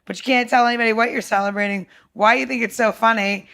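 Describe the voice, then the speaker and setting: A woman chatting casually as a guest chef, telling stories in a blunt, excitable, sweary way. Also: on the high side, quick pace, vocal fry. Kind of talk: casual chat, storytelling.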